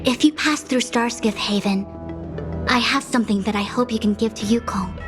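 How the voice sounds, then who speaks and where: Actress as a composed, weary diviner official; formal, measured, a bit put-upon; game music under. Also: female voice, on the high side, lightly acted. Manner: measured, formal and slightly exasperated. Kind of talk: game cutscene voice acting.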